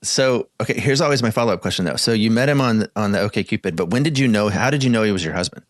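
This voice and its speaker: A man's voice: lowish voice, quick pace, no echo, clean studio sound. A man co-hosting a podcast, easygoing, asking his standard interview questions. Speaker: podcast co-host.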